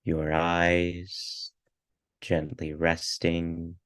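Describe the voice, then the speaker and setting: A man leading a guided meditation, giving calm, measured instructions in short phrases with pauses between them. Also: low voice, no room sound, clean studio sound.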